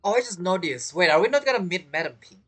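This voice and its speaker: Low-pitched, lively woman gamer. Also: fast, slightly rough. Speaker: game streamer. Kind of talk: casual reaction commentary over gameplay. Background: faint game music.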